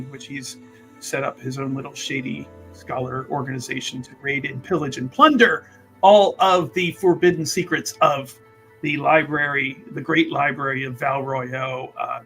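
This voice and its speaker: A man's voice: a little high, pushed and bright, rough recording. A man speaking casually as a player on a tabletop game stream, in a wordy, mock-grandiose way.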